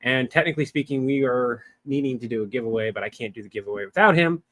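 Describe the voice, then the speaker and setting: A man's voice: medium pitch, fast, a bit bright, no room sound. A young man hosting a casual livestream, rambling to his chat with lots of fillers, from a small wood-paneled room on a desk webcam. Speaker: livestream host. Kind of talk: casual live-stream chat.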